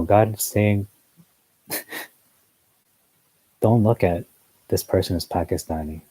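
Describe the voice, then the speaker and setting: A man's voice: sits low, warm tone, breathy edge, dry recording, rough recording. A man giving a rambling, earnest religious commentary monologue, full of fillers and asides. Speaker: newsletter commentator.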